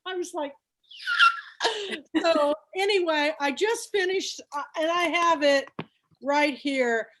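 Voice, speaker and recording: Book club host: a woman, high and unhurried, gushing about books on a video call. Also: noisy background. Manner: gushing and enthusiastic. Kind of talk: casual chat on a video call.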